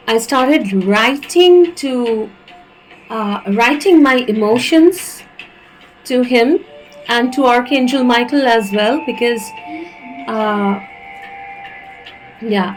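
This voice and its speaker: A woman with an Indian accent talks gently and haltingly as a tarot reader, her voice pitched a little high. She often pauses and restarts. Faint music plays underneath her. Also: rough recording. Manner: soft, hesitant, many fillers and restarts. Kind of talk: slow spiritual channeling talk.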